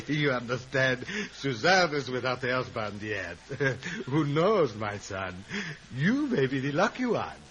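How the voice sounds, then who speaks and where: An actor playing a jovial, scheming French papa with a French accent, effusive in 1950s radio drama style. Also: male voice, mid-range voice, very animated, takes it slowly, fairly bright tone, a little hiss or hum. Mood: jovial, sly. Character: jovial, scheming middle-aged French-speaking communist papa.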